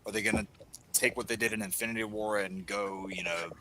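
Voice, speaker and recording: A man's voice: lowish voice, fairly even intonation, a little fast, pushed and bright, a little hiss or hum, rough recording. A man co-hosting a casual podcast chat, rambling a little as he talks. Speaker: podcast co-host.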